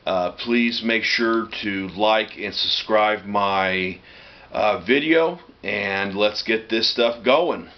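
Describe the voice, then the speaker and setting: A man speaking casually and haltingly to a camera in a room at home, as a vlogger. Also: fairly low pitch. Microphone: camera microphone in the room. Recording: dull lo-fi camera audio, noticeable hiss.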